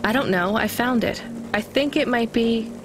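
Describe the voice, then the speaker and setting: A skeptical young courier from a video game, played by a woman. Her voice sits a little high and she speaks in a dry, wry, natural manner, with music clearly playing behind. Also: normal speed, close to an everyday voice. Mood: awkward. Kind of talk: naturalistic scripted game acting.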